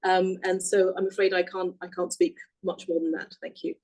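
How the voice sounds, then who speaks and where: A woman's voice: on the low side, monotone, a little mellow. A woman born in Nigeria giving a brief, polite answer on a panel. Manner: brisk, polite. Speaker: development director.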